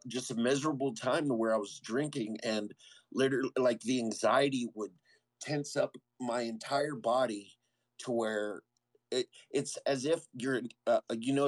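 A man's voice: medium pitch, dry recording, poor audio. A man, a former Marine, telling his own story as an interview guest in a candid, earnest way, often stopping and restarting.

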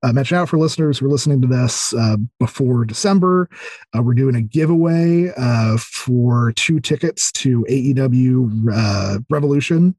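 A man's voice: medium pitch, moderate pace, darkish tone, dry recording. A man chatting casually as a podcast guest, enthusiastic and rambling, often repeating words and starting over. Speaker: podcast guest, audio drama creator. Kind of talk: casual interview chat.

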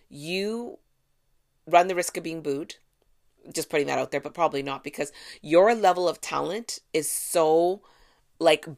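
A middle-aged woman hosts a solo podcast from home with a fan running. Her voice sits low and gravelly, and her chat is loose and irreverent, full of asides. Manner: chatty and irreverent, with asides and digressions. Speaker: podcast host.

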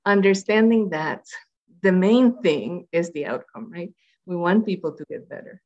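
Rambling Puerto Rican-born woman, low voice. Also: expressive, normal speed, darkish tone, muffled recording. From Puerto Rico. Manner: rambling, with many fillers and restarts. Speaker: guest, public health researcher. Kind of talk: conversational interview.

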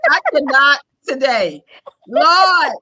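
A woman's voice: sits high, big pitch swings, slow, bright, forceful tone. A middle-aged woman from Washington, D.C., co-hosting a casual morning podcast chat, laughing and exclaiming often. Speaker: podcast co-host.

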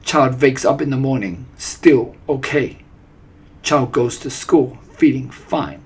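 An imperious old storybook witch, acted out by a man, declaiming with vicious relish. The voice is husky and commands in clipped, broken phrases, slow and deliberate. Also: medium pitch. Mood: imperious, instructing. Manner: broken foreign-sounding grammar, commanding, declamatory. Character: disgusting old Grand High Witch, gloating, imperious child-hater. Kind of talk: storybook character voice.

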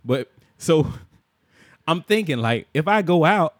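Young man, laughing storyteller, slightly high voice, dry close sound. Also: slow, no echo. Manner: laughs often, animated storytelling with quoted voices. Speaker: podcast co-host. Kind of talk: casual storytelling chat.

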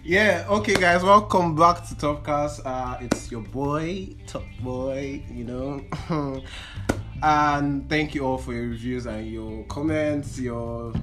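A man's voice: mid-range voice, takes it slowly. Nigerian guy, podcast host, teasing, music playing behind. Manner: playful, teasing, laughs often. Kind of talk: casual chat.

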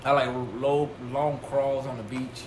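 A man's voice: mid-range voice, little pitch movement, a little slow, a little mellow. A man in his twenties chatting casually, joking and crude. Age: 20s. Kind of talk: casual chat. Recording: echoey room with noise.

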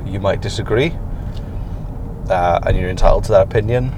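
A man with a croaky morning voice hosting a rambling solo podcast from his car on the way to work, with dry self-mocking asides. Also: deep voice, normal speed, a little mellow. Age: adult, past university. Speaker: podcast host. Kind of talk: casual solo podcast while driving. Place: inside a car on a commute. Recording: in-car recording with road noise. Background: car and road noise.

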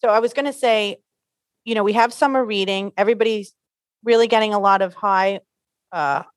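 An easygoing woman librarian co-hosts a podcast in friendly, chatty talk. Her voice sits at an ordinary middle pitch. She is recorded close on a studio mic with a dry sound. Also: fairly quick, no echo. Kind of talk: casual chat. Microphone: studio microphone.

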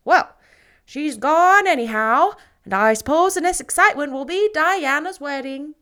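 A woman reads as a loud, opinionated older village gossip in a storybook, her voice pitched well up and ringing with solemn certainty. She hammers home every judgment about the neighbors with lively, emphatic swoops. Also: moderate pace.

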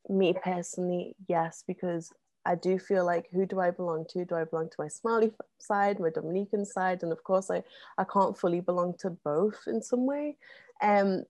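A woman, a guest on a casual podcast, talking with many ums and likes and getting animated in bursts. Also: lowish voice, no room sound. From North West England. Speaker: interview guest, zine co-founder.

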